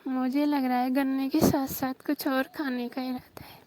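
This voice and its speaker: A woman's voice: high voice, flat delivery, moderate pace, darkish tone. A woman from Rajasthan on a recorded phone call, teasing and bargaining in a coy, flirtatious way.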